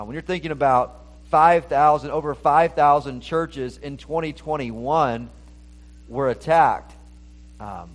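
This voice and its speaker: A man preaching a sermon, earnest and steady, his voice rising when he reads scripture aloud. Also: mid-range voice, low-quality recording. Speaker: pastor.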